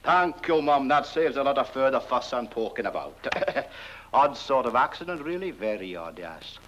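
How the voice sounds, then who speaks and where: A man's voice: mid-range voice, animated, normal speed, fairly bright tone, natural voice. A man playing a bumbling, deferential British village constable, hesitant, rambling and chatty, in comic horror film acting. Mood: fussy, probing. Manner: hesitant, rambling, throat-clearing, polite. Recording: dull old film soundtrack, faint hiss.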